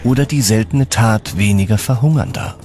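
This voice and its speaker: A man reading scripted documentary narration in a measured, dramatic storytelling manner. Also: lowish voice. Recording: dramatic music under the voice. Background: background music.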